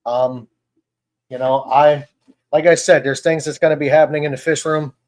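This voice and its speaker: A man hosting a casual live stream about fishkeeping, talking in a relaxed, rambling way with many fillers. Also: fairly high pitch, normal speed. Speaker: host.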